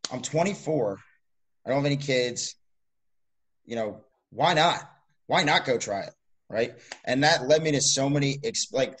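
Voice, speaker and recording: A man's voice: mid-range voice, fairly bright tone. A man in his mid twenties, a college strength coach co-hosting a podcast, rambling in an upbeat casual chat with many fillers. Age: 20s.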